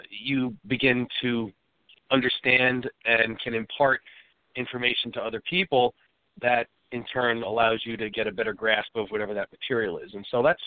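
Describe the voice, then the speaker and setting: A man's voice: on the low side, dry recording. Man with a cold on a phone line. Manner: explains at length in a plain, easygoing way. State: has a cold, a bit raspy. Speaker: guest safety expert. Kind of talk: conversational interview answers.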